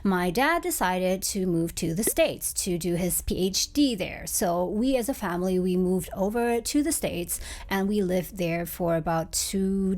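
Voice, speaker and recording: A woman's voice: on the low side, darkish tone. A woman who grew up in Germany and Indonesia, a native German speaker, telling her story casually as a vlogger with explanatory asides for viewers. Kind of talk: casual personal storytelling to camera.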